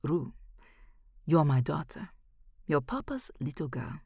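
A woman's voice: deep voice, very animated, slow pace, a little mellow, slightly rough, no room sound, studio quality, full character voice. A woman voicing a loving father who solemnly gives his daughter advice in a story, trying to sound profound. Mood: earnest.

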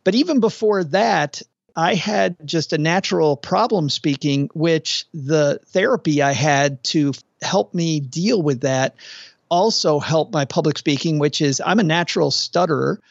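Talkative male podcast co-host, middle-aged, with a gravelly, slightly high voice on a dull, dry recording. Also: a little slow, no echo. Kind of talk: casual conversational chat.

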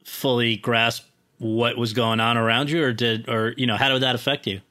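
A man interviewing a guest, asking his questions in a halting way with restarts. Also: medium pitch, level tone, pushed and bright.

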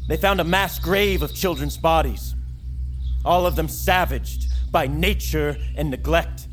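An actor playing the proud, ambitious, defiant young son of a mountain ruler, speaking formally and insistently. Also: male voice, fairly high pitch, a little slow, soft background music, natural voice. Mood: haughty, urgent. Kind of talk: fantasy audio drama acting.

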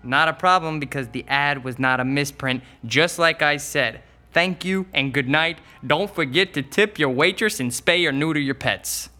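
A flippant young boyfriend in a horror radio drama, grumbling and wisecracking. His voice is bright and forceful, with some music underneath. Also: on the high side, moderate pace, hardly any pauses. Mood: flippant, joking. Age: young adult. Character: flippant, irritable young boyfriend trapped in an apartment. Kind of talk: audio horror drama acting.

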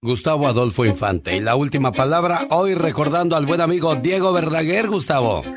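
A man's voice: a little high, lively intonation, fairly quick. A man hosting a radio show in a casual, informal chat, telling things as anecdotes. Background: music bed.

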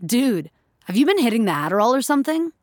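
A woman's voice: fairly high pitch, big pitch swings, fast talker, dry recording, studio quality. A woman voicing a brash, blunt, casual young male programmer in an audio fiction story. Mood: impressed, nosy. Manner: bro-ish, blunt, casual.

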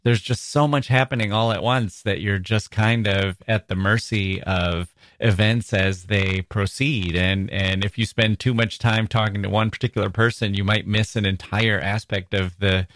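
Man, podcast host, low voice, dry close sound, measured curious questions. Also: steady tone, fairly bright tone, dry recording. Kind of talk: casual interview conversation.

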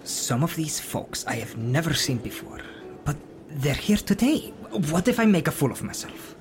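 A man's voice: on the high side, very animated, moderate pace, noisy background. Jittery, swooping man playing an old dwarf priest. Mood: nervous. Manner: faltering, nervous. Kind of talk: acted fan fiction audio drama.

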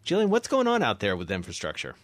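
A man's voice: fairly low pitch, huge pitch swings, fast talker, fairly bright tone, dry recording. A man hosting a business radio show, wordy and playful, with some hesitations, speaking in a studio. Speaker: radio host.

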